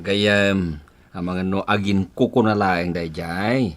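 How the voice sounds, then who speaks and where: A man's voice: low voice, fairly even intonation, slow. An actor playing a brash, teasing young man in a Filipino radio drama, with an Ilocano accent and emphatic delivery. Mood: urging. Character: brash, teasing young buddy egging his friend on. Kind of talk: Philippine radio drama acting.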